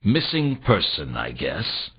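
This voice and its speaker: An actor playing a world-weary, sardonic private detective in a noir video game, with a wry, deadpan, pressing delivery. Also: male voice, low voice, slow pace, slightly rough, heavily acted. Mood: businesslike. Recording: dull, muffled old console game audio.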